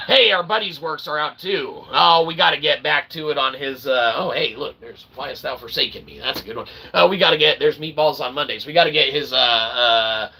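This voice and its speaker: A man's voice: on the high side, brisk, creaky, few pauses, sharp tone, a little hiss or hum. A man hosting his own channel in a rambling, self-promotional monologue, sarcastic and self-mocking, who keeps asking "okay?". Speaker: independent channel host.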